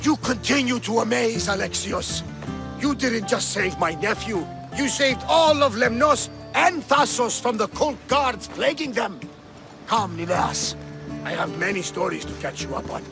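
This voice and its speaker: Very high-voiced uncle. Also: male voice, lively intonation, a little slow, creaky, music in the background, exaggerated voice. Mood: grateful, warm. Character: commanding older male relative, tough but caring. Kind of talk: dramatic video game acting.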